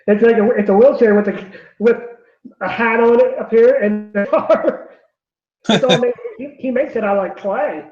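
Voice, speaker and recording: A man in his late thirties on a video call, chatty and halting, laughing often between words. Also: extremely high pitch, echoey room, highs cut off. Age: late 30s. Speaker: guest. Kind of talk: casual video call chat.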